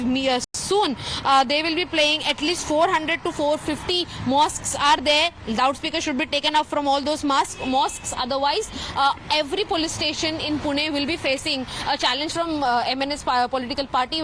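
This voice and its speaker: A woman with an Indian English accent giving an unscripted live news report in wordy, repetitive phrases. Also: high voice. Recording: clear street noise with vehicles.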